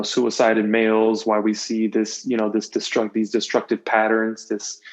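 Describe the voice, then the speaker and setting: A man's voice: on the low side, lo-fi sound. A man talking casually and earnestly as a podcast guest, emphatic and often repeating words and phrases for effect. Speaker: podcast guest, motivational coach. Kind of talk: casual conversational interview talk.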